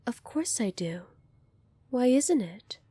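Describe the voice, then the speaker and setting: A woman playing a gentle, earnest young alien princess in an ASMR roleplay, speaking softly and politely to the listener. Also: a little high, big pitch swings, slow, breathy edge, no echo, full character voice. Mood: warm, reassuring. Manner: soft-spoken, polite, earnest, apologetic.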